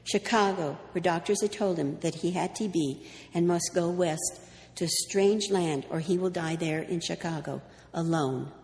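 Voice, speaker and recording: Woman with a low voice in an echoey hall. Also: unhurried, few pauses, a little mellow. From New Mexico, USA. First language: Greek. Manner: hesitant, with many ums and restarts when answering. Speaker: speaker giving a history talk. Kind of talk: reading a story aloud, then answering audience questions. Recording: echoey hall, faint background noise.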